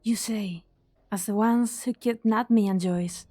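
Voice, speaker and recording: A woman's voice: mid-range voice, steady tone, unhurried, mellow, dry recording, close to an everyday voice. A woman playing a cool, guarded hostage doctor in an amateur game fan dub, with restrained, precise delivery. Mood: wary, reproachful.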